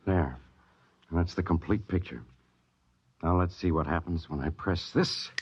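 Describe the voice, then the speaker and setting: An actor playing a stern, strained spaceship captain, authoritative and dramatic, in the style of 1950s radio. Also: male voice, sits low, a little slow, a little mellow, breathy edge. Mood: businesslike. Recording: dull old radio recording.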